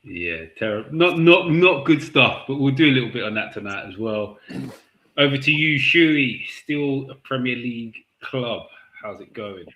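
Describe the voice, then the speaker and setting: A man's voice: mid-range voice, lively intonation, normal speed, echoey room, muffled recording. A man hosting a casual football podcast, upbeat and steering the talk around the group. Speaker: podcast host.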